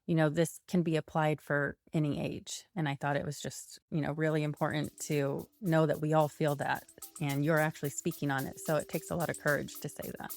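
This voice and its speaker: A woman's voice: sits low, little pitch movement, fairly quick, darkish tone, soft background music. A woman hosting a podcast, a supportive listener who affirms her guest often and speaks in an earnest, conversational way. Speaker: podcast host. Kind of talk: casual interview and a scripted promo read.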